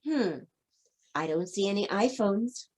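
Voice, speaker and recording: A woman's voice: medium pitch, expressive, measured pace, darkish tone. A woman reading a children's picture book aloud, expressively, speaking directly to young listeners. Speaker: storybook reader.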